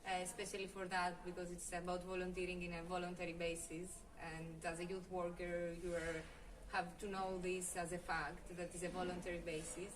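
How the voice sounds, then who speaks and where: Young female youth worker, hesitant interview delivery, low and flat tone. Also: brisk. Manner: hesitant, many fillers. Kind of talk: reflective interview answers. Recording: distant mic with noticeable background noise.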